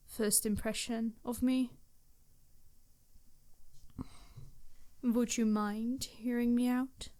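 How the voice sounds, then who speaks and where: Woman playing an ancient female vampire, smooth clear voice, slightly high, formal, earnest, flustered, intimate ASMR roleplay. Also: dark tone. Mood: nervous, grateful. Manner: formal, hesitant, earnest speech. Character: embarrassed, apologetic centuries-old female vampire soulmate. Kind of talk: ASMR roleplay monologue to the listener.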